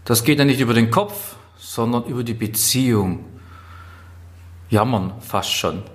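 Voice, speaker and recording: A man with a Swabian German accent gives an instructional talk as a trainer, phrasing deliberately and stressing key words. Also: mid-range voice. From Swabia, southwest Germany. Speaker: trainer, speaker. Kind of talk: instructional talk on workplace skills.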